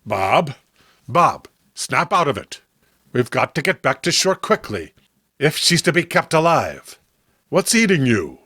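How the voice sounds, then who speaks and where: A man's voice: medium pitch, big pitch swings, measured pace, sharp tone, breathy edge, no echo, studio quality, full character voice. A man voicing a sensible, worried friend in a horror comic read-along, earnest and reasoning. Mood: urgent.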